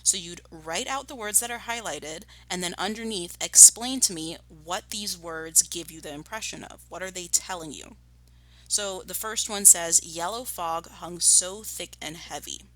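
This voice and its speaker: A woman primary school teacher reads to pupils and explains tasks deliberately. Her voice is slightly low for a woman, with a bright, forceful tone. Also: rough recording. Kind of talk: storybook read-aloud and lesson instruction.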